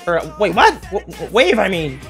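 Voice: medium pitch, big pitch swings, moderate pace, soft background music. A performer voicing a cocky, bossy cartoon bird gang leader in an improvised anime-parody fandub, swaggering and theatrical. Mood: bossy, irritated.

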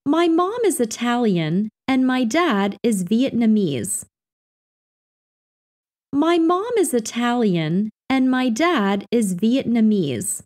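Slow, lively woman reading practice phrases. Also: a little high. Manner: clear, carefully enunciated delivery. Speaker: English lesson reader.

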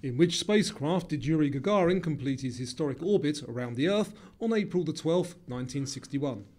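A man's voice: a little high, animated, brisk, a little mellow. A man presenting a quiz, reading scripted questions and answers.

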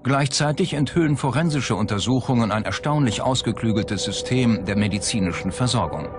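A man's voice: medium pitch, animated, fairly quick. A man reading scripted documentary narration as the narrator. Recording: music bed under the voice. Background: background music.

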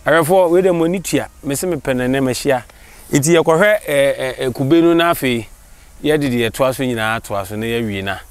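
A young Ghanaian man interviewing a farmer outdoors, often prompting with short murmurs and slow questions. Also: mid-range voice, smooth, dry recording. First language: Twi. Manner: many short "mm" backchannels, drawn-out questions. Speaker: interviewer, channel host. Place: open farmland outdoors.

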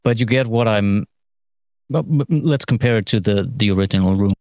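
A middle-aged man thinking aloud through an informal studio tutorial, halting and musing, with trailing phrases. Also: on the low side, darkish tone, no room sound. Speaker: mixing engineer giving a lesson. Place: recording studio, seated at desk.

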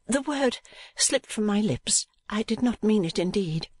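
A meek young niece in a storybook, played by a man. The voice is lifted high and light, gentle and earnest as it pleads, in a dry recording. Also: animated, unhurried, husky, dry recording, exaggerated voice. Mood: apologetic, pleading. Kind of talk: audiobook character voice.

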